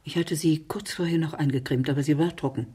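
An actress playing a grieving aunt who smokes, with a low, husky, breathy voice, speaking in a halting, hushed radio drama monologue. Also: female voice, steady tone. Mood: anguished, haunted. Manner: halting, hushed, dwelling on words. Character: grieving, smoking aunt remembering a deathbed.

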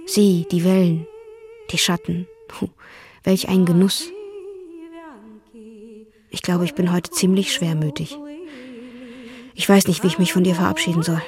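A woman's voice: lowish voice, measured pace, long pauses, a little mellow. An actress playing a tender, wistful young Russian woman in love, intimate and dreamy, in a German radio drama. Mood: melancholy longing. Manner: intimate, dreamy, with musing questions. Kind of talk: German radio drama, letters read in character.

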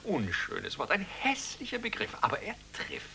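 An actress in a German radio play voicing a blunt, clinical expert who lectures precisely in a creaky voice. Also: female voice, medium pitch, wildly swooping pitch, moderate pace, pushed and bright, slight background noise, heavily acted. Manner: precise, lecturing, formally polite. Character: blunt, clinical female expert passing judgement.

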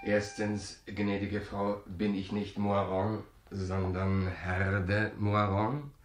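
Vain young low-voiced stage actor. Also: male voice, little pitch movement, slow pace, soft background music. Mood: boastful. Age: young adult. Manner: boastful, theatrical. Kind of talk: German radio play acting.

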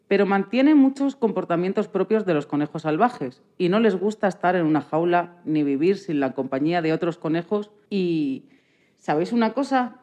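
Mellow Spanish woman, slightly dull recording. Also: medium pitch. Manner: addresses listeners with rhetorical questions. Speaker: radio programme presenter. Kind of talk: educational scripted narration for children.

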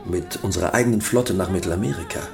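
A man's voice: deep voice, fast talker, gravelly, over music. A man playing a young, ambitious drug smuggler in a radio drama, courteous and persuasive like a salesman. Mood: eager, persuasive.

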